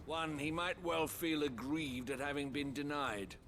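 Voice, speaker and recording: A man's voice: a little high, steady tone, measured pace, a bit bright, soft background music. Haughty, sneering townsman. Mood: scornful. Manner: sneering, formal, dismissive. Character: haughty, bitter, distrustful male townsman. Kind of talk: dramatic video game acting.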